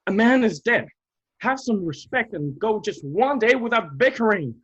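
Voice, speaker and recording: High-pitched, earnest, caring stagehand played by a man. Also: big pitch swings, normal speed, fairly bright tone, husky, highs cut off, exaggerated voice. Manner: soothing, earnest, mildly scolding. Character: steady, caring, sensible male backstage troupe member.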